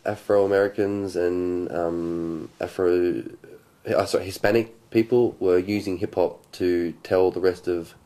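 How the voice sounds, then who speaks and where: Low-voiced Australian man in his late twenties. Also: mellow. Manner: thoughtful, with frequent ums and restarts. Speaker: documentary filmmaker. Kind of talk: casual explanatory talk to camera.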